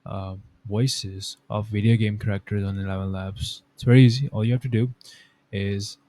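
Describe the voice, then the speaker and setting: Dark, mellow-voiced man hosting a tutorial. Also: fairly low pitch, steady tone, moderate pace. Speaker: tutorial host. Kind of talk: casual software tutorial narration.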